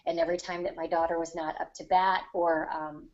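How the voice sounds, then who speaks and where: Female podcast co-host, middle-aged, conversational, lower register, muffled lo-fi sound. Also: flat delivery, a little fast, darkish tone. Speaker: podcast co-host, writing teacher. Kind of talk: casual podcast discussion. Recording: slightly dull, lo-fi online audio.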